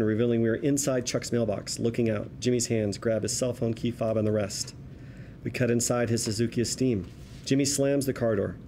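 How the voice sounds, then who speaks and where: A man's voice: medium pitch, warm tone, slight background noise. A man reading stage directions as scripted narration at a table read.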